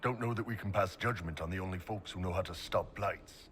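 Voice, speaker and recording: Casting: a wry fantasy party member in a game, plain-spoken, lower register, audible ambient rumble. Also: male voice, a little fast, a bit bright. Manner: wry, informal. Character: wry, folksy male fantasy companion. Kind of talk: video game dialogue acting.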